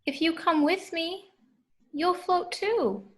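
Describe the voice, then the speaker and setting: Woman as an eerie high-voiced little ghost boy. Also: slow pace, a bit bright, heavily acted. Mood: eerie, luring.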